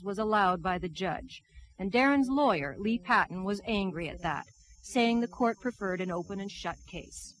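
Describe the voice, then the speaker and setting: A woman reading scripted television news documentary narration as a reporter, in a measured, dramatic storytelling manner. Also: mid-range voice, no echo, poor audio.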